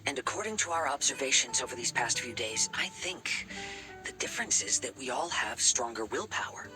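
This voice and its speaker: A man playing a gentle, reflective young swordsman in a video game, speaking politely and in measured phrases. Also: medium pitch, lively intonation, music in the background, close to an everyday voice, poor audio. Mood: thoughtful.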